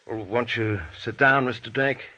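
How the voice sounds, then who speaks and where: An actor playing a composed, solicitous psychiatric doctor with formal manner, in old radio drama style. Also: male voice, mid-range voice, fairly even intonation, normal speed, sharp tone. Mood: firm. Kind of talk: 1940s radio drama acting. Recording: dull vintage radio recording.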